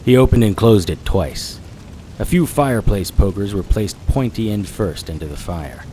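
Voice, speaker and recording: Guy playing gritty noir detective man narrating a radio drama, low voice, terse n grim, bit of noise behind. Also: expressive, moderate pace, dark tone, close to an everyday voice. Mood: grim narration. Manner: terse, hard-boiled. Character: hard-boiled male private investigator narrating, grimly vengeful. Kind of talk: first-person noir audio drama narration.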